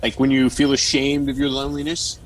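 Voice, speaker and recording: A man's voice: mid-range voice, little pitch movement, brisk, close to an everyday voice, rough recording. An actor playing a blunt, flirtatious man who talks like a probing amateur therapist in an audio drama. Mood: earnest, explanatory. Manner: frank, probing, explanatory.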